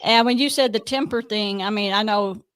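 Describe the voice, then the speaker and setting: A woman's voice: medium pitch, moderate pace, fairly bright tone. A woman hosting a paranormal investigation, telling a story in an eager, rambling way, often breaking off her own sentences. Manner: rambling, self-interrupting, eager. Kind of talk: casual conversation.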